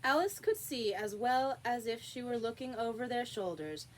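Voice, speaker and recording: Female storybook narrator, fairly high voice, expressive reading, faint noise on the recording. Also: normal speed, rough recording. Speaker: storybook reader.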